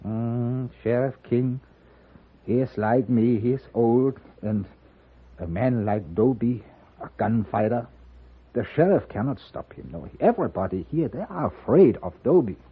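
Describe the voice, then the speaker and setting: An actor playing a frail, fearful old German gunsmith turned storekeeper in a radio western, speaking with a German accent in halting, old-world phrasing. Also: male voice, medium pitch, lively intonation, slightly rough. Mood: fearful, resigned. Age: older. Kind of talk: 1950s radio western acting. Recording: thin narrow-band old radio recording.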